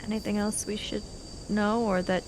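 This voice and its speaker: A woman's voice: medium pitch, level tone, moderate pace, noisy background. A woman improvising casual in-character dialogue as a player in a tabletop roleplay podcast.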